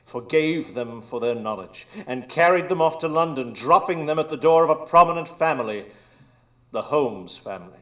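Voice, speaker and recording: A grandiose, scheming old pirate villain. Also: male voice, on the high side, expressive, normal speed, a bit bright. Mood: gloating. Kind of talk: live radio drama acting.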